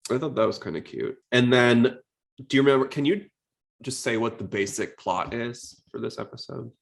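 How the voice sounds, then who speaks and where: A man's voice: mid-range voice, moderate pace, gravelly. A man hosting a podcast, talking informally and explaining things in a casual style. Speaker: podcast host.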